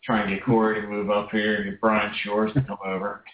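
A man's voice: lowish voice, completely flat delivery, brisk. A man talking casually as a guest on a radio show, rambling with many fillers. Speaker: guest vendor. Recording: distant, roomy, phone-like line.

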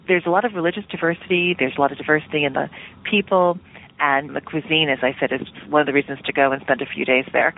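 A woman television producer talking casually as a radio interview guest, chatty and hedging as she thinks aloud. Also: low-pitched. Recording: tinny phone line.

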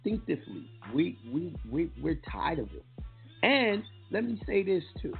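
A man's voice: on the high side, big pitch swings, normal speed, creaky. A man with a New York accent hosting a talk radio show, blunt and profane in his opinions. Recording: lo-fi phone-line sound with faint music.

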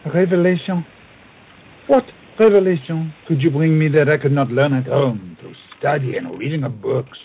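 Man acting haughty French aristocrat, husky and highish. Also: normal speed. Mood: skeptical. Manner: pompous, disdainful, formally worded. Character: haughty, bookish French aristocrat, poet and swordsman. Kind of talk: theatrical comic period audio drama. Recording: muffled, tinny phone-like sound.

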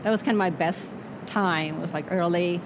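A woman whose first language is Swedish, an author answering interview questions in a reflective way that often trails off softly. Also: on the low side, moderate pace. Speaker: author guest. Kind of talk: interview conversation. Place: noisy spot near traffic. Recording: background traffic noise.

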